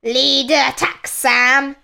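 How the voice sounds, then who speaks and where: A woman voicing a fierce male pirate captain from a children's storybook, growling and bellowing slow commands. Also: high-pitched, steady tone, gravelly, bright, forceful tone, full character voice. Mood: commanding.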